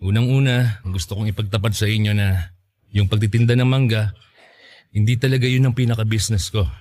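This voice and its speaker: A man's voice: on the low side, steady tone, a little fast, darkish tone, lightly acted. A man acting an earnest, humble mango farmer in a Filipino radio drama, speaking in a sincere, conversational way.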